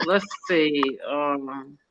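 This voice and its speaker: A woman's voice: low-pitched, drawn-out words, dull audio, very noisy. Middle-aged woman, searching and trailing off. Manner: scattered and searching, trailing off mid-thought. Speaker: guest rapper. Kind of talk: casual live-stream chat.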